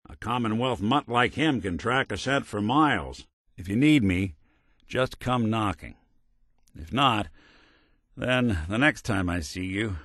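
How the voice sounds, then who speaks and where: A low, expressive male synth detective from a video game. He talks like a noir private eye, gruff and sardonic yet loyal. The delivery is clipped and world-weary, recorded close and dry. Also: dry recording, full character voice. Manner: wry, hard-boiled, clipped noir delivery.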